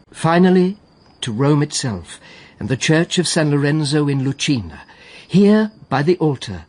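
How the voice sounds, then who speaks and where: An actor playing a reflective poet narrating an old murder case in measured, literary verse for a radio drama. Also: male voice, mid-range voice.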